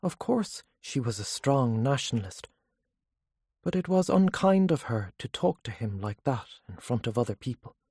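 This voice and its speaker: A storyteller reading scripted fiction as an audiobook narrator, in measured, carefully phrased sentences. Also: medium pitch, big pitch swings, warm tone, breathy edge, no room sound, clean studio sound.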